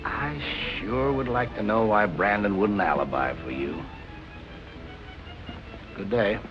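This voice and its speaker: An actor playing a probing, evasive police captain in a 1940s film noir style. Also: male voice, lowish voice, slow pace, low-quality recording. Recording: old film soundtrack with music behind.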